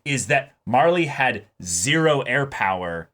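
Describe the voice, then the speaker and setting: A man with a bright, forceful voice at a middling pitch co-hosts a casual discussion. He stresses his points by repeating words and speaks in an informal, emphatic way. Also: big pitch swings, slow pace. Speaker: co-host of anime reaction show.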